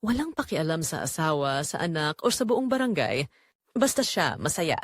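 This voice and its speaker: A woman's voice: low voice, sing-song, normal speed, no echo, studio quality. A woman with a Filipino accent narrating a scripted dramatic story.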